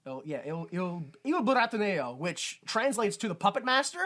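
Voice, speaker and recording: A man's voice: sits high, big pitch swings, normal speed, a bit bright. A man co-hosting a comedy podcast in a sarcastic, casual way, swearing often. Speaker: podcast co-host.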